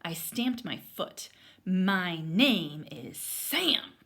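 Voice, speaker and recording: A woman voicing an indignant young boy bear who narrates a children's storybook in an emphatic, expressive way. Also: on the low side, very slow, creaky, full character voice. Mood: indignant, defiant. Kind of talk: animated children's story read-aloud.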